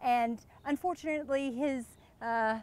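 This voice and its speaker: A woman acting as a coy, boastful glamour wife with an American accent and a fairly high voice. She gushes suggestively and giggly, as if chatting in a comic mock interview outdoors. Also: unhurried, darkish tone, clear, resonant tone. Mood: flirty, amused. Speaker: interviewee in character. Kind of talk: improvised in-character mock interview. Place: outdoors beside a lake.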